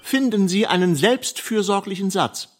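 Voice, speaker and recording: An actor playing a brisk therapist giving instructions in a radio play. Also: male voice, high voice, over loud music, lightly acted. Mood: professional. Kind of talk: radio drama acting.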